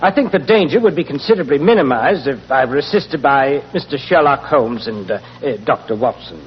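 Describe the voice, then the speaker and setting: An actor playing a nervous, genteel British banker, hesitant and stammering, in the manner of 1940s radio drama. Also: male voice, mid-range voice, lively intonation, a little fast, close to an everyday voice. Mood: anxious, polite. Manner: hesitant, fussy, polite, stammering. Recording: muffled, hissy, reverberant 1940s radio recording.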